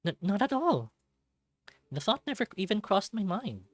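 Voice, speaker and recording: A man's voice: sits high, very animated, normal speed, dry recording, voice pushed up. A man voicing an earnest, awkward young recruit in a visual novel, with a lifted youthful voice and hesitant, apologetic delivery. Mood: flustered.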